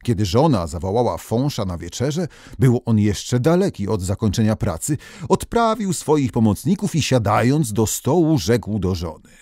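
A man narrating folk legends in an expressive, theatrical storytelling manner. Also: fairly low pitch, moderate pace, dry recording, studio quality.

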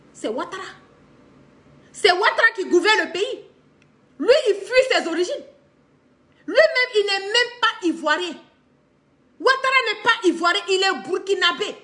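Ivorian lady ranting politics on a livestream, super high voice, really animated, repeats stuff to drive it home. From Ivory Coast. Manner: emphatic, repeats phrases for stress, animated with hand gestures. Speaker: political commentator on a live stream. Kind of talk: impassioned, unscripted monologue to camera. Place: indoor living room.

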